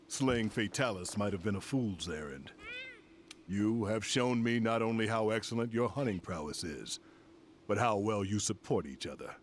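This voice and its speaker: An actor playing a dignified, courteous senior commander in a video game, speaking formally and with measure. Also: male voice, fairly low pitch, a little hiss or hum, close to an everyday voice. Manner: formal, measured, stately praise. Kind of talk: video game cutscene acting.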